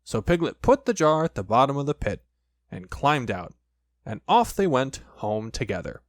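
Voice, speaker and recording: A man narrating a children's story from a script, in an expressive, measured storytelling way. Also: medium pitch, dry recording, clean studio sound. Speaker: audiobook narrator.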